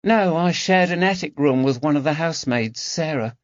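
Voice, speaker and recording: Man doing a shy young housemaid in an audiobook, high lifted voice, dull dry sound. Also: normal speed, no echo, lightly acted. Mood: anxious. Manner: hesitant, plain-spoken working-class speech. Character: timid nineteen-year-old dismissed lady's maid.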